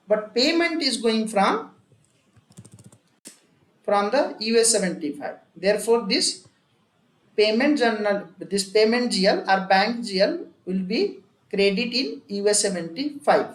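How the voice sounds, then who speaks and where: Echoey Indian-accented instructor, sex unclear, teaching step by step. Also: mid-range voice. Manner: repetitive, emphatic step-by-step explaining with frequent check words. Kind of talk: software and accounting tutorial, explaining a worked example. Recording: echoey room with faint background noise.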